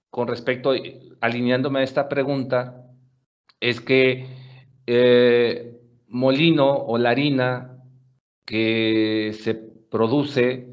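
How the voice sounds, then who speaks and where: Man, webinar presenter, measured speech with filler pauses, medium pitch, dull call audio. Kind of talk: explaining answers to audience questions.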